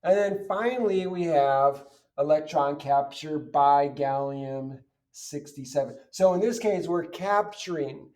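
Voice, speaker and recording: Mellow-voiced male teacher, slightly distant mic. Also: on the high side, measured pace. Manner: explanatory, poses questions and answers them. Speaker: chemistry teacher. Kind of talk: informal step-by-step lesson, worked examples.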